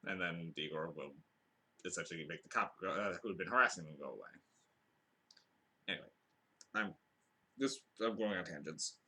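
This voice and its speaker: A man's voice: low-pitched, moderate pace, long pauses, sharp tone. A man hosting a podcast, giving a casual, rambling film review full of fillers and restarts, with dry asides.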